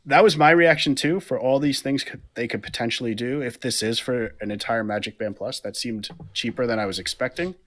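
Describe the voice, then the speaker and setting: A man's voice: mid-range voice, brisk, few pauses. A man in his thirties co-hosting a podcast from home, talking things through in a chatty way over a headset. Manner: chatty, explaining with fillers. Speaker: podcast co-host. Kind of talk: casual podcast chat. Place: room at home. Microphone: headset on a video call.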